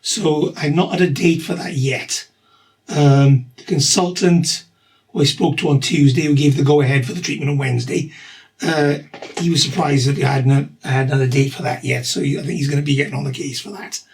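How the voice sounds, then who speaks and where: A middle-aged man with a husky voice and a slight cough, talking through his treatment in a rambling, matter-of-fact video diary. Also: on the high side, fairly even intonation, fairly quick, a little mellow. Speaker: vlogger documenting his cancer treatment.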